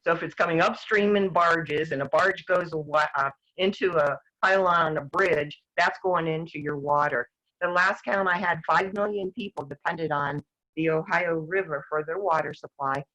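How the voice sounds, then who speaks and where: Older woman, earnest and a bit hoarse. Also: low voice, moderate pace, a bit bright. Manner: earnest, rambling, with many restarts. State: losing her voice, clearing her throat. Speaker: guest activist. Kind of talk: casual talk-show discussion. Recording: dull lo-fi sound.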